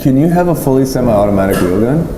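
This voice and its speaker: A man's voice: medium pitch, expressive, fast talker. A man co-hosting a gun range talk show, talking in a rambling, opinionated way full of ums and restarts. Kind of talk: casual chat. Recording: echoey room with faint noise.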